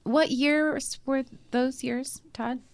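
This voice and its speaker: A woman from Oregon co-hosting a podcast, chatting and teasing in a relaxed way. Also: high voice, takes it slowly, vocal fry. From Oregon, USA. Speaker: podcast co-host. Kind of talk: casual chat.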